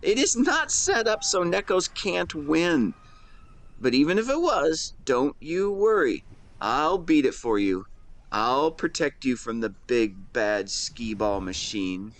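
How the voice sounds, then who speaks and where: A man playing a shy young man in love in a romantic audio roleplay, speaking in an earnest, halting, emotional way. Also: mid-range voice. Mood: playful, teasing. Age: young adult. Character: shy young man tenderly confessing love to best friend.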